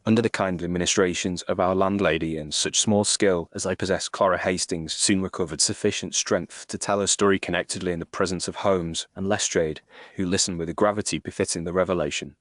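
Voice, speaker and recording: A British man narrating a Victorian detective story in a formal, measured, literary manner. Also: low-pitched, steady tone, no echo, clean studio sound.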